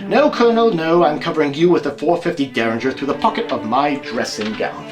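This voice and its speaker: Guy doing a posh-mannered detective, high swooping voice, dry and mocking, audio play. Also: expressive, brisk, over music, close to an everyday voice. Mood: triumphant. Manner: genteel, precise, drily amused. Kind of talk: amateur audio drama acting.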